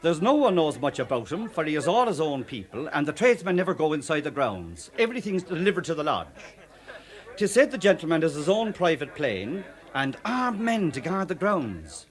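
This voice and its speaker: Lilting, lively Irish police superintendent. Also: male voice, fairly high pitch, normal speed. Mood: genial, storytelling. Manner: folksy, cajoling, garrulous. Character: genial, talkative, canny Irish police superintendent. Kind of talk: radio drama acting.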